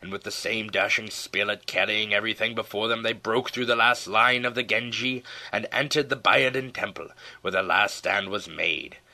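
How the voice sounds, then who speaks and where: A man with a low, forceful voice narrates a historical tale. His delivery is theatrical and solemn, with deliberate phrasing suited to an audiobook of old battles and legends. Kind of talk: dramatic scripted storytelling narration of a historical tale.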